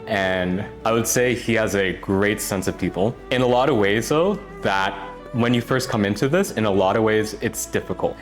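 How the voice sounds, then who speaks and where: A young man from Canada, a workshop participant giving an earnest, reflective testimonial. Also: lowish voice. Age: young adult. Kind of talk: testimonial interview.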